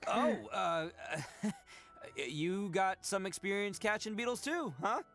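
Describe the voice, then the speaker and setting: A man's voice: sits high, takes it slowly, a bit bright, faint music behind, full character voice. A man voicing a brash, big-hearted but dim young oni brawler in a video game, cocky and full of stammering bluster.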